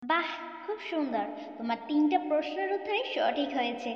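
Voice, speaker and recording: A woman's voice: high voice, expressive, fairly quick, echoey room, big-room sound, highs cut off. A woman playing a kindly female fairy in a cartoon, speaking in a formal, encouraging way. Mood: approving, testing. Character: kindly fairy queen posing riddles, female. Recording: music playing underneath.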